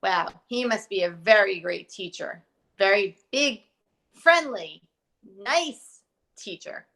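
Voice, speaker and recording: A woman's voice: medium pitch, expressive, slow pace, vocal fry, fairly bright tone. A woman speaking expressively and directly to children as a teacher doing a storybook read-aloud. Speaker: teacher reading aloud to pupils.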